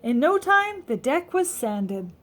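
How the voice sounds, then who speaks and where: A woman reading a children's story aloud in an expressive, teacherly way, as if explaining to young listeners. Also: high voice, slow. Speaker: storybook reader.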